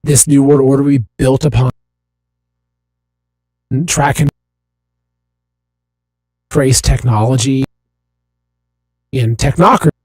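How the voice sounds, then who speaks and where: A man hosting a talk show, his voice hoarse and raspy while he recovers from an illness, speaking loosely with fillers. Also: mid-range voice, fairly even intonation, slow pace, pauses often, no echo, rough recording. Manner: loose, filler-heavy delivery. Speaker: show host. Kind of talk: hosted talk show monologue.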